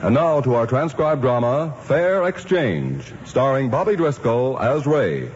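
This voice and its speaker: A man's voice: medium pitch, sing-song, unhurried. A man with an American accent announcing a scripted 1950s radio show. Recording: muffled old radio recording. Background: loud music.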